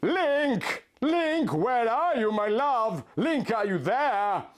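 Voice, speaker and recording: A lovestruck young heroine, melodramatic and gushing, as a man puts her on, pitched high and swinging widely in every line. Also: very animated, takes it slowly, full character voice. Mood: distraught. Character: lovestruck young heroine, dramatic, cat-like fighter.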